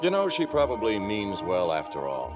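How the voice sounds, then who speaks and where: A man's voice: on the low side, wildly swooping pitch, normal speed. A man acting an easygoing, mildly puzzled husband in a vintage radio drama. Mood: puzzled, teasing. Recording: old radio broadcast with music behind.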